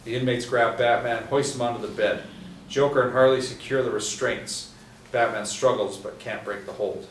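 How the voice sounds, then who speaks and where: A man reading stage directions aloud at a table reading, steady with small restarts. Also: medium pitch, normal speed. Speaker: script narrator. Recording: echoey room from a distance, faint hiss.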